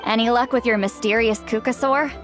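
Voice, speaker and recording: A woman's voice: fairly high pitch, fairly even intonation, a little fast, a bit bright, natural voice. A woman voicing a confident, decisive and encouraging young leader in a video game, speaking articulately. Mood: reassuring. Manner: assured, articulate. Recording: background music under the voice.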